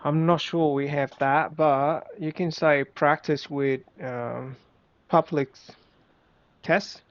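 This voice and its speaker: A man's voice: mid-range voice, gravelly, dry recording, muffled recording. A man working as an English tutor, giving casual one-to-one feedback to a learner.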